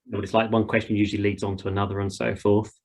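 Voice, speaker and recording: A man's voice: lowish voice, level tone, racing, a little mellow. Informal British man hosting a chat. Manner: informal, colloquial. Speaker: host of an online ground school session.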